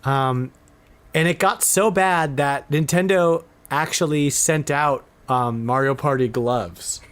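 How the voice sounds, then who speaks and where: A man's voice: a little high, slow pace, fairly bright tone, smooth. A man chatting casually and enthusiastically as the host of a retro gaming podcast.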